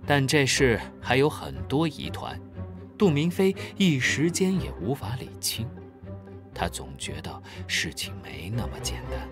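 Lively storyteller over music. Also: medium pitch, a little slow. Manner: expressive storytelling. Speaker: narrator. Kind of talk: children's audio drama narration.

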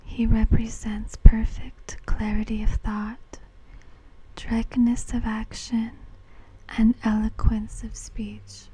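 Slow, breathy whispering woman. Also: a little high, monotone, warm tone, low-quality recording. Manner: slow, deliberate, measured phrasing. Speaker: fortune teller. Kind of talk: whispered ASMR fortune-telling reading.

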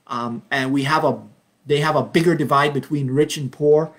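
A man in his fifties from Belize leading an informal Bible study over a webcam at home, speaking earnestly and unhurriedly with frequent ums, slowing down to read verses. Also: fairly high pitch, steady tone, fairly bright tone. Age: 50s. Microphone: webcam, video call framing.

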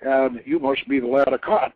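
A man's voice: mid-range voice, fairly even intonation, normal speed, a little hiss or hum, natural voice. A man voicing a commanding, shrewd blacksmith god in a storybook reading, emphatic and declaiming. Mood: wry. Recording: muffled, thin phone-like radio line.

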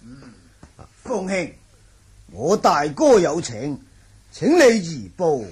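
Husky, measured manor lord. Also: male voice, on the high side, sing-song, heavily acted. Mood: formal. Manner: formal, deferential. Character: polite, measured martial-arts manor lord, second brother. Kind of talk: 1980s Cantonese radio drama acting.

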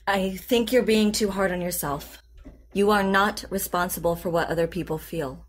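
An actress playing a calm, patient therapist in an audio drama, composed and deliberate in her delivery. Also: mid-range voice, slight background noise, lightly acted. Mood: firm, encouraging. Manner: composed, deliberate, clinical, reassuring. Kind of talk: naturalistic audio drama acting.

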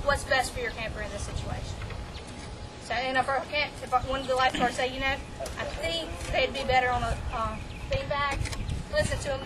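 A woman gives practical, brisk instruction to a group of camp counselors at a lakeshore. She raises her voice to carry across the group. She is caught by a camera at some distance, under loud outdoor noise. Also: high voice. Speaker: camp staff trainer.

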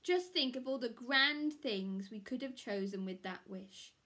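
A woodcutter's wife from a children's storybook, as a woman reader puts her on. She is scolding yet loving and speaks with expressive emphasis. Her voice is fairly high and measured.

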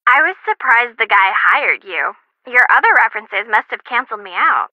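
A woman's voice: a little high, animated, moderate pace, pushed and bright, dry recording, lo-fi sound. An actress voicing a sarcastic, grudgingly helpful young female student in a video game, dramatic and snarky, heard through a phone filter. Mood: resentful, mocking.

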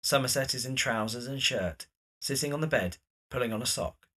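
A man's voice: mid-range voice, fairly quick, pushed and bright, breathy edge. A man narrating scripted stage directions for an audio drama.

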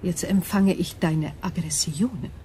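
A woman's voice: fairly low pitch, unhurried, warm tone, a little hiss or hum, lightly acted. A woman playing an ancient, detached alien crystal intelligence in a radio drama, speaking in formal, precise, analytical phrases. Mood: detached, wary.

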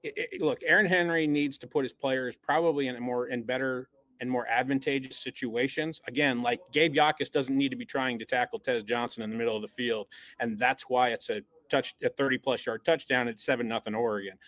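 A man's voice: medium pitch, steady tone, fairly quick, a bit bright, no echo. A man in the middle of his range co-hosts a sports podcast, dissecting a loss with blunt, emphatic opinions. He often repeats words as he gets going. The sound is close and dry, with no room around it. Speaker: podcast co-host.

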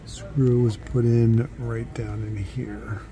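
A man's voice: medium pitch, level tone, warm tone. A man narrating a home how-to video, hesitant with frequent fillers, recorded on a handheld phone. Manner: halting, many fillers and restarts. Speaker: DIY home video host. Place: indoors and at a house's front door. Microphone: handheld phone camera, speaker off screen.

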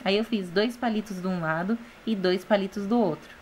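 Brasileira explicando artesanato passo a passo. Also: female voice, medium pitch, brisk, darkish tone, slight background noise. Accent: Brazilian Portuguese. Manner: patient, explaining, often adds "gente" and "tá?". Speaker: DIY channel host. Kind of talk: step-by-step craft voice-over.

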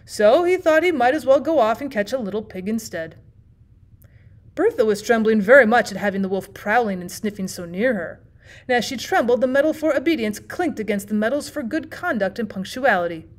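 A woman's voice: a little high, expressive, brisk. A woman narrating a short story expressively, as a teacher reading aloud for young listeners.

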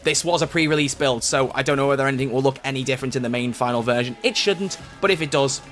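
A man's voice: medium pitch, fast talker, a bit bright, faint music behind. A man from the UK, a gaming YouTuber, breaking down a game update in an upbeat, chatty way, his voice a bit off from illness. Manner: upbeat, chatty, self-correcting. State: voice affected by illness.

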